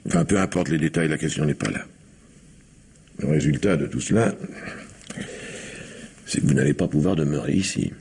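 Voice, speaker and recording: An actor playing a self-satisfied middle-aged factory owner in a French radio drama, gravelly and husky, patronising yet hesitant. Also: male voice, a bass voice, normal speed, pauses often, a little mellow, heavily acted. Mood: awkward, evasive. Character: self-satisfied factory owner pushing out his brother's household.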